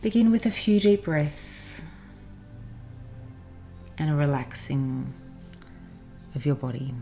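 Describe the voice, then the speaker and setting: A woman narrating a guided meditation in a soothing, instructional manner with pauses. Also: sits low, animated. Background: soft background music.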